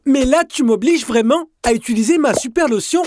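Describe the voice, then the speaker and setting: High-voiced, firm young cartoon hairdresser. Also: female voice, animated, fairly quick. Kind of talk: French cartoon dub acting.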